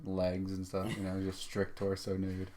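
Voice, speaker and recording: Man, low deep voice, podcast co-host, casual chat, slangy, swears freely. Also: completely flat delivery, normal speed, mellow, a little hiss or hum.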